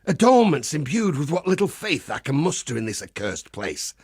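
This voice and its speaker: Gravelly, zealous crusading holy warrior. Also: male voice, fairly high pitch, sing-song, normal speed, no room sound, studio quality, full character voice. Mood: steady, comradely. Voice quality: gravelly, rasping. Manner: preacherly, blunt, wry, archaic turns of phrase. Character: zealous battle-hardened crusading holy warrior, grimly cheerful, foul-mouthed. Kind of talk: theatrical video game character dialogue.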